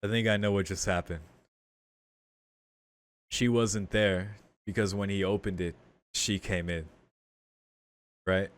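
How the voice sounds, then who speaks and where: A man's voice: lowish voice, fairly even intonation, slow, pauses often. A man playing through a visual novel, reading its text aloud dramatically and reacting in casual, slangy speech. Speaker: video game streamer doing a playthrough. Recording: music behind the voice. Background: game music.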